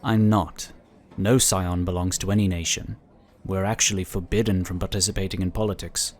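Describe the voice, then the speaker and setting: A man's voice: low voice, steady tone, normal speed, lightly acted. A man playing an affable, talkative and polite psionic inquisitor in a sci-fi audio drama. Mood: candid, explanatory. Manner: talkative, easygoing, explanatory.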